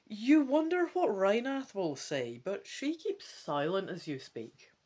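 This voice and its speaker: A woman's voice: mid-range voice, slow. A woman narrating a gamebook as a dramatic, theatrical storyteller. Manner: expressive, theatrical.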